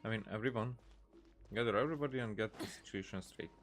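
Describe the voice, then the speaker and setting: A man giving casual playthrough commentary in a halting, musing way, close on the microphone. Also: fairly low pitch, fairly bright tone. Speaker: gamer, let's player. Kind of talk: casual game playthrough commentary, reading dialogue choices.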